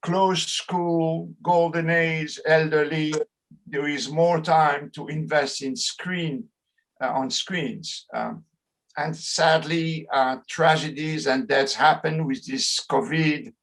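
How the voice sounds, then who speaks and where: A middle-aged sociologist with a French accent gives a lecture over a video call. He speaks slowly in a slightly high voice, pausing and restarting often as he searches for words in English. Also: fairly even intonation, fairly bright tone, low-quality recording. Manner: slow, halting, many fillers and restarts. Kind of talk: online lecture.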